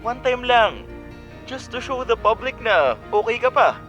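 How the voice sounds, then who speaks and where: An actor playing a pushy, flattering showbiz agent who coaxes in Taglish in a Filipino radio drama. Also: male voice, very high voice, a little slow, exaggerated voice. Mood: coaxing. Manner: effusive, coaxing, mixes English into Tagalog. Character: pushy, flattering male showbiz talent agent, gossipy and slick. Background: faint background music.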